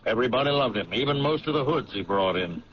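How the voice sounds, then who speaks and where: An actor playing a skeptical police captain in a 1970s radio drama, talking with dry banter. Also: male voice, medium pitch, expressive, fast, a little hiss or hum, close to an everyday voice. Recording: dull lo-fi 1970s radio recording.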